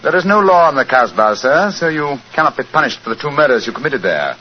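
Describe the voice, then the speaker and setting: An actor playing a shrewd, composed English gentleman detective with a British accent, speaking formally and precisely in 1940s radio style. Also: mid-range voice, very animated, quick pace, close to an everyday voice. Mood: accusing, assured. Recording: muffled, hissy 1940s radio recording.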